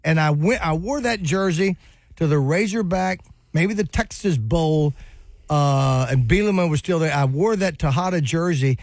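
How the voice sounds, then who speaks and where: A man's voice: a little high, animated, moderate pace, darkish tone, no room sound, clean studio sound. A man in his sixties co-hosting a casual sports talk show, telling rambling nostalgic stories with many restarts. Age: 60s. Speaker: sports radio co-host.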